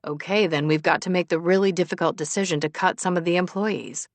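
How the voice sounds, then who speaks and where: A woman's voice: on the low side, steady tone, dry recording, natural voice. Woman voicing a reserved, businesslike man. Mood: blunt. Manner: measured, matter-of-fact. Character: reserved, businesslike, confident man, a numbers person.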